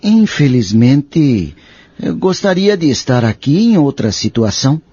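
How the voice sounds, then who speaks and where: A man acting a grave, courteous younger gentleman in a Brazilian Portuguese audio drama. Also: medium pitch, sing-song, unhurried, no room sound. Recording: muffled audio with clear background music.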